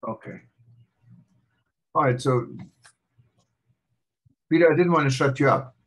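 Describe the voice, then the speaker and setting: A man's voice: a little high, unhurried, fairly bright tone. A man hosting a livestream from his home, making casual announcements to viewers. He talks in a chatty way, stumbles over his words and keeps apologizing. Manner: chatty, apologetic, self-correcting. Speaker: host.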